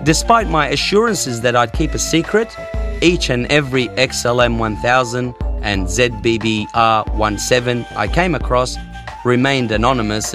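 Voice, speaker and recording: A man telling a wry, self-mocking story about his own past in the first person. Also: medium pitch. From Australia.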